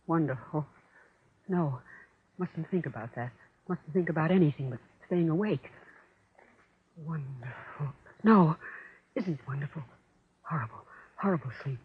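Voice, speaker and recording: An actress playing a frightened, exhausted housewife in 1940s radio horror, with halting, repetitive, haunted delivery. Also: female voice, deep voice, breathy edge, heavily acted. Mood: weary dread. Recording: dull old radio drama recording.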